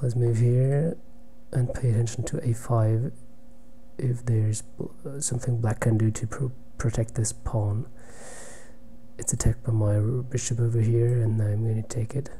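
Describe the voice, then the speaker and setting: Soft-spoken man commentating chess. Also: medium pitch, level tone, a little hiss or hum. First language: German. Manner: thinking aloud, halting, drops to near-whisper while calculating. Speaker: chess player commentating own game. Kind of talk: live commentary while playing online blitz chess.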